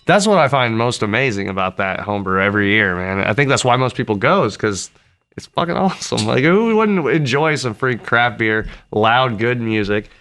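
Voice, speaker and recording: Man in his thirties, mid pitch, laid-back podcast host, swears freely, boom mic. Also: lively intonation, normal speed, fairly bright tone. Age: 30s. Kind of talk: casual podcast chat. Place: band rehearsal room. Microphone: headphones and boom mic.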